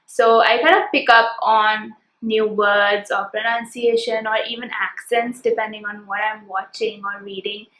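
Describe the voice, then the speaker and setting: A woman's voice: medium pitch, a bit bright, roomy sound, poor audio. A woman in her twenties with an Indian English accent, answering viewers' questions in an earnest, reflective casual vlog. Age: 20s. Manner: earnest, reflective, listing things one by one. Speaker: vlogger.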